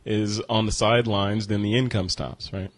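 A man hosting a podcast interview, chatting casually with quick interjections. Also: fairly low pitch, fairly even intonation, dry recording. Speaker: podcast host.

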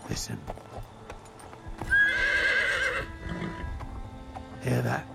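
An actor playing a terse, plain-spoken British soldier in an audio drama. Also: male voice, mid-range voice, wildly swooping pitch, fairly quick, gravelly, over loud music, full character voice. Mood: wary. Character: plain-spoken male soldier companion, wary and awestruck.

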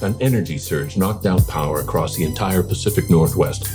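An actor playing a grave military official giving a crisis briefing in a film trailer. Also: male voice, low-pitched, a little fast, over loud music, close to an everyday voice. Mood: grave, urgent. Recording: loud trailer score underneath.

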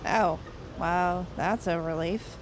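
A woman's voice: fairly low pitch, slow pace, noisy background. An actress playing an eager, chatty young woman who rallies her friends, in naturalistic audio fiction. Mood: awkward, hesitant. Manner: hesitant rambling turning to pushy pep talk.

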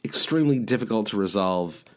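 Nasal Midwestern man, lively rambling talk. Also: fairly low pitch, a little fast. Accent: Midwestern American. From American Midwest. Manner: rambling, full of "like" and restarts. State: head cold. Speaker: podcast host. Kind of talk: casual podcast chat.

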